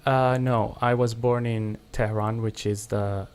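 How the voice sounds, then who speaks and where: Young man, from Iran, actor guest, low pitch, relaxed, polite. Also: a little slow, clear, resonant tone. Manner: relaxed, polite, laughs easily. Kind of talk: interview answers.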